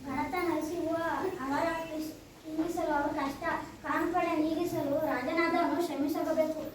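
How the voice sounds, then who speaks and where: Casting: Krishna, child plays a warm noble young king, very high voice, booming echoey hall, distant mic. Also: steady tone, a little slow, a little hiss or hum. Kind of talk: school stage play.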